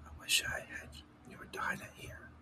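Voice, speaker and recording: A man's voice: measured pace, close to an everyday voice. A man whispering the lines of a polite, eager young girl in a storybook reading.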